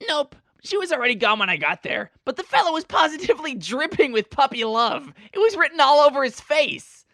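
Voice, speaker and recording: A woman's voice: sits high, very animated, fairly quick, fairly bright tone, no echo, studio quality, heavily acted. A woman voicing a brash, boastful young male pegasus pony in a fan-fiction audio story, with casual, slangy speech. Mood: casual, musing.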